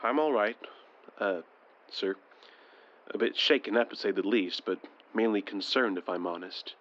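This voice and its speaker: A man's voice: lowish voice, moderate pace, fairly bright tone, muffled recording, close to an everyday voice. A man playing a polite, doubtful civilian survivor in an audio drama, with a formal, wry manner. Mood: shaken, sombre.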